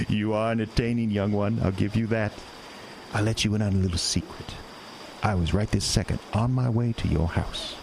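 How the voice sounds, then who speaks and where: A sinister carnival showman, deep and coaxing. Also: fairly even intonation, normal speed, creaky, darkish tone, a little hiss or hum. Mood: sly. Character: sinister smooth-talking male carnival showman. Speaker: carnival owner. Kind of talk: horror audio drama.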